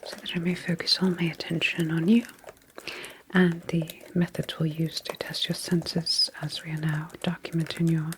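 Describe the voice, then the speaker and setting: A woman in an ASMR roleplay, speaking in a breathy whisper as a serene, faintly sinister sleep clinic doctor, with soothing, deliberate reassurance. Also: fairly low pitch.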